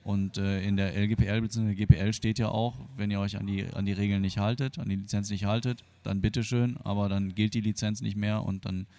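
A man's voice: lowish voice, flat delivery, a little fast, few pauses, warm tone. A man who grew up in Germany, explaining things in a colloquial, hesitant way as an interviewee. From born Argentine, grew up in Germany. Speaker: interviewee, free software developer. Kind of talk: spontaneous interview answers, explanatory.

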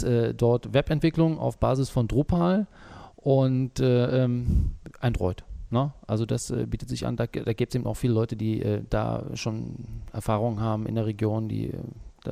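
Hesitant gravelly man, dark voice. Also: medium pitch, steady tone, normal speed, few pauses. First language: German. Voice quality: hesitant, many fillers. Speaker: interview guest, small business owner. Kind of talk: conversational interview.

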